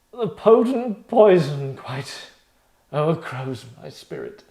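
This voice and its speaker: Dying Shakespearean prince, performed by a man, grandly tragic and declamatory, slow delivery, roomy sound. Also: a little high, darkish tone, pitched above the performer's own voice, full character voice. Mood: dying, agonised. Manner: declamatory, halting, drawn-out phrases. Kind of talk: theatrical Shakespearean table read. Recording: roomy echo.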